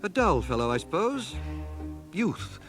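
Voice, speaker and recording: A bookish, self-deprecating man narrating his memoirs for a 1980 radio drama. His delivery is measured and wry, with wide, expressive swings of pitch. Music plays behind him on the cassette transfer. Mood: wry, reflective.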